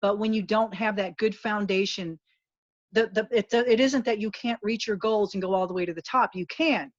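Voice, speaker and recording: A woman's voice: mid-range voice, normal speed, no room sound. A woman guest in an interview, speaking in an earnest, emphatic and motivational way. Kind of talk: candid interview talk. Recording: dull lo-fi dry sound.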